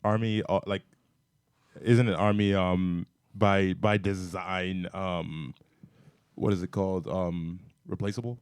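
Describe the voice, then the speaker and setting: A man with a loud voice co-hosting a podcast, rambling in casual chat and often asking "you know what I'm saying". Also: deep voice, fairly even intonation, slow, smooth, no echo, studio quality. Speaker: podcast co-host.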